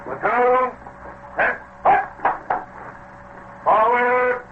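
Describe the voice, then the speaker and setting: An actor playing a brisk, gruff American police desk sergeant in an old-time radio drama, clipped and loud. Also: male voice, very high voice, lively intonation, heavily acted. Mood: barking orders. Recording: muffled, slightly noisy vintage radio recording.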